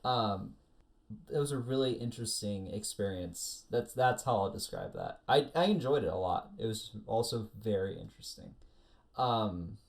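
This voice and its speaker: A man's voice: fairly low pitch, lively intonation, normal speed, gravelly. Man in teasing podcast banter. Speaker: podcast co-host. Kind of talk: casual podcast chat.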